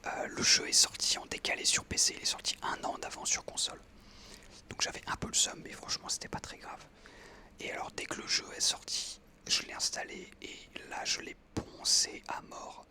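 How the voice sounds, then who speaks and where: Whispering young ASMR gamer, bright and crackly. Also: normal speed. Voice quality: whispered, breathy. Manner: informal, enthusiastic gamer talk. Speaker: ASMR creator narrating own gameplay. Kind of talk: ASMR whispered casual storytelling over gameplay.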